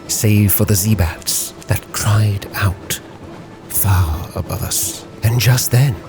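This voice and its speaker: A man playing a grave, driven Victorian sea captain, solemnly narrating his log with theatrical, weighty pauses over music. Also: fairly low pitch. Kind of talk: dramatic scripted storytelling, ship's log in character.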